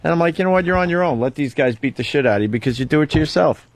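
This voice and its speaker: A man's voice: a little high, animated, rapid-fire, a little hiss or hum, rough recording. A man hosting a radio show, talking casually with a needling, profane, teasing manner.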